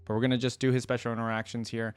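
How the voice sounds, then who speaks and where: A man's voice: mid-range voice, little pitch movement, racing, clear, resonant tone, no echo. A man hosting a reaction video, chatting casually and reading aloud with comments of his own.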